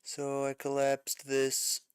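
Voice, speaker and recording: A man's voice: medium pitch, monotone, slow, clear, resonant tone, no room sound, clean studio sound. A man giving a casual music arranging lesson, thinking aloud as he works, with frequent fillers and self-corrections.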